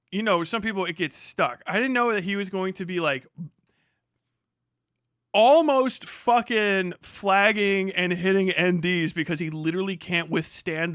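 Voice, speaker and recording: A man's voice: sits high, fairly bright tone, dry recording, clean studio sound. A man with an American accent doing casual livestream reaction commentary, sarcastic, incredulous and freely profane. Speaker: livestream host reacting to a video.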